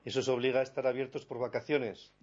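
Chatty Spaniard radio host, lo-fi sound. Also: male voice, mid-range voice, little pitch movement, fast talker. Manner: chatty, prompting questions. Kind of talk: radio talk and phone interviews. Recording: dull lo-fi radio recording.

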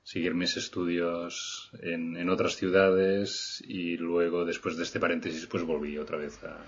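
A middle-aged man from Barcelona, guest on an interview podcast, speaking in a measured, hesitant way with many restarts. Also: low-pitched, flat delivery, husky. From Barcelona, Spain. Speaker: guest architect. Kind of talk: reflective interview talk. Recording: slightly muffled podcast recording.

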